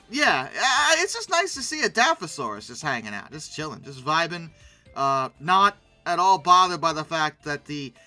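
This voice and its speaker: A commentator giving casual, humorous commentary in a rambling, animated, mock-indignant way, with conversational asides. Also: mid-range voice, no room sound. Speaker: host reviewing old dinosaur illustrations.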